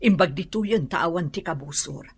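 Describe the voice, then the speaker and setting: Worried, pious wife for radio drama: lowish, very husky voice. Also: female voice, normal speed. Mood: worried, hopeful. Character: worried, devout wife in a poor family.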